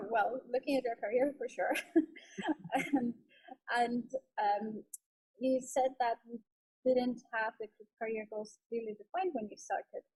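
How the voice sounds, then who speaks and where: A woman's voice: fairly high pitch, steady tone, slow, darkish tone, husky, rough recording. A woman hosting an interview, putting questions to her guest in an encouraging way. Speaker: interviewer, host.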